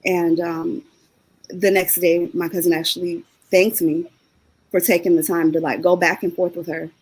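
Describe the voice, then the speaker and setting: A woman with a somewhat low, husky voice speaks heartfelt and personal on a panel. She talks as a panelist over a video call. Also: level tone, normal speed, darkish tone, low-quality recording. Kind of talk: panel discussion.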